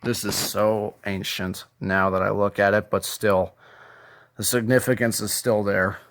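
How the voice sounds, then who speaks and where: A man talking in a deliberate, sentimental way, as in a casual show-and-tell video, sometimes reading aloud. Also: on the low side, little pitch movement, fairly bright tone. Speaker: YouTube video creator.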